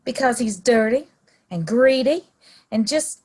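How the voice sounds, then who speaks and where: A woman playing a friendly, bold frontier townswoman in a western audio play, slightly husky and chatty. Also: on the high side, expressive, unhurried, a little mellow. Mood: indignant.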